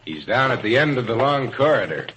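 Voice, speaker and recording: An actor playing a courteous officer who guides visitors, in polite 1940s radio acting. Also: male voice, medium pitch, very animated, fairly quick, lightly acted. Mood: polite, patient. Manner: polite, directing. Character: courteous male officer guiding visitors through purgatory. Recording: muffled, noisy old radio recording.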